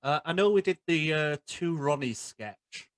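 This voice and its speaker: A man's voice: fairly high pitch, unhurried, clear, resonant tone, no room sound. A young man hosting a podcast, chatting casually and bantering informally with his guest. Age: young adult. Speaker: podcast host. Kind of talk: casual interview chat.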